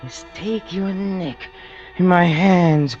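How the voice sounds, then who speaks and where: An actor playing a lonely, unhinged killer in a vintage radio thriller, speaking haltingly and obsessively, repeating himself. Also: male voice, high-pitched, over loud music. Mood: obsessed, menacing. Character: lonely, unhinged young male killer, brooding and self-pitying. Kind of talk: 1940s-50s radio thriller acting. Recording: dull vintage radio recording.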